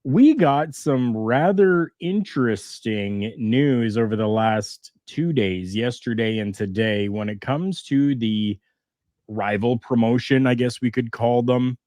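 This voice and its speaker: A man's voice: medium pitch, slow pace, a little mellow, no echo, studio quality. A man hosting a wrestling podcast, chatting in an easygoing way with fillers and restarts. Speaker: podcast host. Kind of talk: casual wrestling podcast chat.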